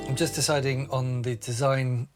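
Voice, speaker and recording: A man's voice: mid-range voice, little pitch movement, normal speed. A man explaining his craft work aloud, unscripted, with dry, self-deprecating humour. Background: music.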